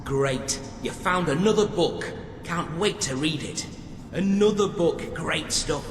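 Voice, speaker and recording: An actor playing a dutiful, eager, slightly awkward prison guard in a video game, deferential and earnest. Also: male voice, fairly high pitch, slow, music in the background. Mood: eager, pleased. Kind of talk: video game character acting. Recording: echoey hall with noisy ambience.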